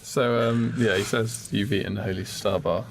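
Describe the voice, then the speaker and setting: A man's voice: on the low side, steady tone, moderate pace, vocal fry, noisy background. A man co-hosting a podcast, casually making up a comic story and searching for his words. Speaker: podcast co-host.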